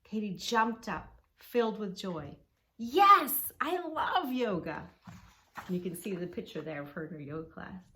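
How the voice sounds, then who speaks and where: A woman's voice: on the low side, moderate pace, few pauses, slight background noise. A woman hosting a children's storytime, narrating expressively and speaking directly to young listeners. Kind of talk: children's read-along storytelling with asides.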